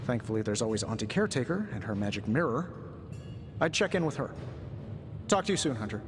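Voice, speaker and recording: An actor playing a wisecracking middle-aged inventor superhero in a video game, with a glib, chatty manner. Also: male voice, big pitch swings, a little fast, over music, natural voice. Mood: businesslike. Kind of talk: cinematic video game acting. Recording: long reverb, clear rumbling noise.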